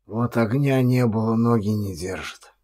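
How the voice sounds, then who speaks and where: Man acting a weak, hoarse starved vagrant. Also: fairly low pitch, mellow. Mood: weary, resigned. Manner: halting, slow, terse replies. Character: frail, starved, hunchbacked male vagrant, meek and weary.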